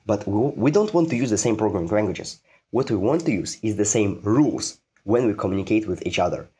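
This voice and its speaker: A man's voice: lowish voice, animated, fairly quick, warm tone, slightly rough. A man giving an informal programming tutorial, repeating phrases for emphasis and posing questions that he answers himself.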